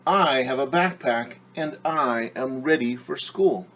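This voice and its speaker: Man voicing an eager little pig, slow and husky. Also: medium pitch, fairly bright tone, poor audio. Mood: proud, eager. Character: eager, earnest young male pig wanting school.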